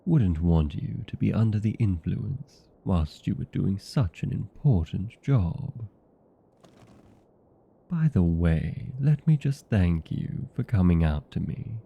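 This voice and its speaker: A man playing a vain, playful, chatty old vampire in a comedic audio roleplay, with a gravelly, husky voice and theatrical, courteous manner. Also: low-pitched, animated, slow pace, phone-quality sound, exaggerated voice. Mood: chatty, hospitable.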